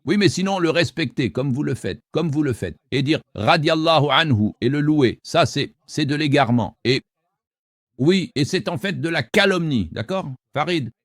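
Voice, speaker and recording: Forceful man hosting a call-in debate. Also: a little high, animated, moderate pace, no room sound, clean studio sound. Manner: forceful, argumentative, interrupts callers. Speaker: host.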